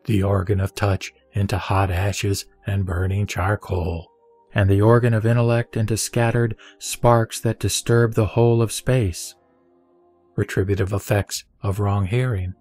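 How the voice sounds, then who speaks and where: A man reciting a religious text as solemn, dramatic scripted narration, with measured, deliberate and emphatic phrasing. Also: lowish voice, fairly even intonation, darkish tone, no room sound. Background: background music.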